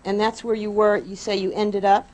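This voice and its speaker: A woman's voice: medium pitch, little pitch movement, normal speed, a little mellow, rough recording. Female lawyer questioning a witness precisely. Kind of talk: courtroom examination. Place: courtroom.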